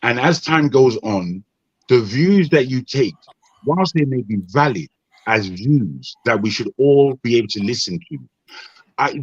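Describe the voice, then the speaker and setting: A Nigerian man on an online panel, speaking in a measured, advising way with frequent restarts. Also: mid-range voice, no echo, lo-fi sound.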